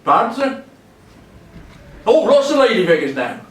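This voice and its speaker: An actor playing a cheery, deferential homeless wanderer of about seventy in a stage monologue, with a rural Hampshire accent and a halting, rambling way of talking. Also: male voice, sits high, big pitch swings. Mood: chatty, frank. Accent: rural southern English, Hampshire. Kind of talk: theatrical dramatic monologue. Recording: echoey room, distant mic, faint noise.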